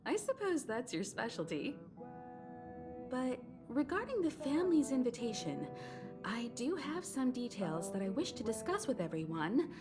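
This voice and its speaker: A woman's voice: a little high, big pitch swings, normal speed. An actress playing a poised, teasing, elegant woman in an anime game, wry and gracious, with guitar music behind. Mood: teasing, composed.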